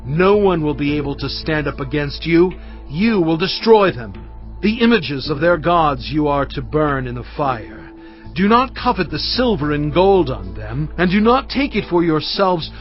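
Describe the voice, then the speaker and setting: Solemn prophet, exhorting, highish male voice, very expressive pitch, deliberate oratorical phrasing, audio drama, music behind. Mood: fervent warning. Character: Moses, solemn, impassioned male prophet exhorting his people. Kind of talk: dramatised scripture reading. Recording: dull, band-limited audio with music behind.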